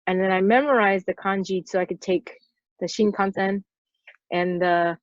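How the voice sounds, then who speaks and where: A woman's voice: medium pitch, fairly even intonation, normal speed, dry recording. Woman in her 40s rambling thru stories on a podcast, lots of "like", kinda muffled dry audio. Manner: rambling storyteller, lots of "like" fillers. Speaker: podcast guest, stunt performer. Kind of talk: casual interview chat. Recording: dull, dry lo-fi sound.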